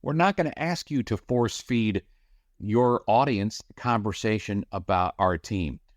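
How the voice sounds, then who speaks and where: A man with a somewhat low voice talks sports in a relaxed, emphatic way, circling back to the same point more than once. The sound is dry and close, with no room around it. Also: a little slow, dry recording. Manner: conversational, emphatic repetition, filler "uh". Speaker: sports radio host and play-by-play announcer. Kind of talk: podcast interview answer.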